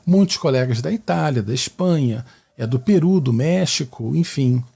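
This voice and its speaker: A man's voice: medium pitch, lively intonation, moderate pace, gravelly, warm tone, husky. A man from Rio de Janeiro with a Carioca Brazilian accent, hosting and answering questions in a conversational, didactic way with frequent checking tags. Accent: Carioca Brazilian Portuguese. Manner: didactic, with frequent tag questions seeking agreement. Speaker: podcast host and mathematics teacher.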